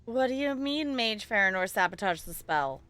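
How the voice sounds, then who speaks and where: A woman's voice: mid-range voice, lively intonation, moderate pace, fairly bright tone, smooth, faint music behind. A woman streaming a video game live, commenting expressively and playfully and reading dialogue choices aloud.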